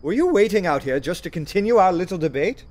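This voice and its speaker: An actor playing a witty, self-assured young poet in a radio play, courteous, dry and eloquent. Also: male voice, high-pitched, expressive, fast, darkish tone. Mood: teasing, calm. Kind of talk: full-cast radio play acting with first-person narration.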